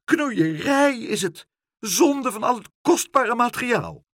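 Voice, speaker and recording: Man putting on a shrill, fussy, scolding woman. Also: huge pitch swings, moderate pace, no room sound, clean studio sound, full character voice. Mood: outraged. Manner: snappish, shrill, nagging complaints. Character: fussy, indignant, scolding woman, easily outraged. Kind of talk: children's storybook character voice.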